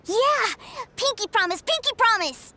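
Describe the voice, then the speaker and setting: A woman's voice: extremely high pitch, lively intonation, measured pace, pushed and bright, a little hiss or hum, heavily acted. A woman voicing an innocent, exuberant little boy with an eager, piping voice in an anime game dub. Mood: cheerful farewell. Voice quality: childlike, piping. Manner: eager, earnest, wide-eyed.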